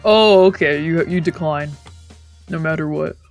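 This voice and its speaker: Woman streamer reading a visual novel, lowish voice, slow, goofy side comments, bit of music behind. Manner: stumbles over lines, breaks into playful asides. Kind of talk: let's play reading with casual reactions. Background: faint game music.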